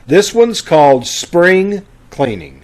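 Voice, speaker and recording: A man's voice: high voice, very animated, slow pace, a little mellow. A man hosting an amateur theater troupe, speaking in a folksy, conversational way over a video call. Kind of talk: informal announcements to an online audience.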